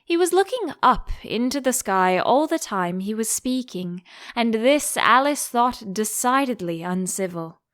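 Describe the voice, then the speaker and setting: A woman reading a children's storybook aloud as an expressive audiobook narrator. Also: on the high side, a little slow.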